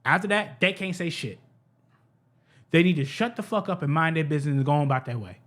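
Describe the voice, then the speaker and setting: A man with a fairly high voice reacts to a clip in a heated, unpolished rant. He repeats phrases, restarts sentences and swears freely. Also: fairly quick, a bit bright. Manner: heated, profane, repeats himself, stumbles over words. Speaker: reaction streamer. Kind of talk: ranting reaction commentary.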